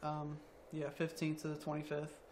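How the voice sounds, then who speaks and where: A young man around twenty, a college student athlete, answering interview questions quietly with many ums. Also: fairly high pitch, completely flat delivery, normal speed, slight background noise. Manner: quiet, soft-spoken, hesitant with ums.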